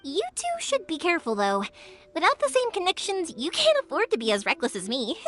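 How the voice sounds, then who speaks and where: A woman's voice: very high-pitched, huge pitch swings, brisk, a bit bright, soft background music, full character voice. A woman voicing a cheerful, carefree young fireworks maker in an anime game, perky and earnest with a teasing, big-sisterly way. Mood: playful, breezy.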